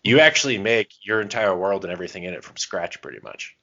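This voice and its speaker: Very deep-voiced man, casual. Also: very animated, fast talker, vocal fry, sharp tone, muffled recording. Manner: jokey, bantering, self-deprecating. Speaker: podcast co-host. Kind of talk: casual chat.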